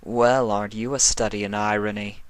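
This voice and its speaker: A man voicing a stern, haughty female dark alicorn villain in a storybook reading, with a dry, sardonic manner. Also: on the low side, level tone, moderate pace, smooth, dry recording, lightly acted. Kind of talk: fan fiction character reading.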